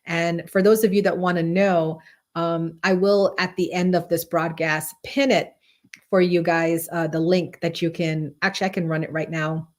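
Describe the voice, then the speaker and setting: Female tarot teacher, 40s, from Barbados and Guyana; lowish, chatty live-stream delivery. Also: little pitch movement, normal speed. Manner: chatty, greets viewers by name.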